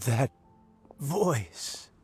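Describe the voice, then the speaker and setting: An actor playing a gentle, wistful old man in a video game, speaking haltingly and fondly. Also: mid-range voice. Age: older. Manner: chuckling, hesitant, halting and affectionate.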